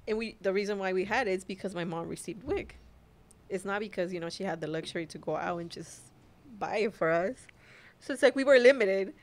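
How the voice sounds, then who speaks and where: A young woman from Mexico whose first language is Spanish, a mother sharing her story as a podcast guest in a soft, hesitant way with frequent laughs. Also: medium pitch. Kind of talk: casual personal storytelling.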